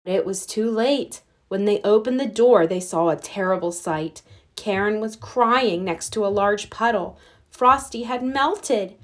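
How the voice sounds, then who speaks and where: A woman reading a children's storybook aloud as narrator, with expressive storytelling. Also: mid-range voice, a little slow. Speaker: storybook reader.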